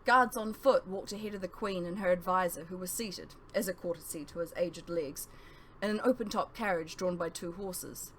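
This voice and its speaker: A woman reading a first-person novel aloud as scripted narration, with emphatic phrasing and dramatic pauses. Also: on the low side, fairly bright tone, slight background noise, poor audio.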